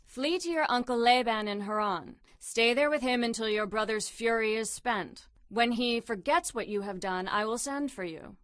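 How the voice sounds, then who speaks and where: A shrewd, anxious mother , as a man puts her on, speaking in a high falsetto well above his usual voice. She sounds urgent and commanding, heard dry and close in a storybook reading. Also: moderate pace, few pauses, a bit bright, clear, resonant tone, no room sound.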